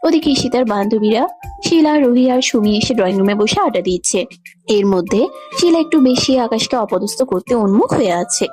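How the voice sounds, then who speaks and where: A woman's voice: on the high side, expressive, normal speed, darkish tone. A woman reading scripted narration for a romantic audio story. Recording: background music under the voice.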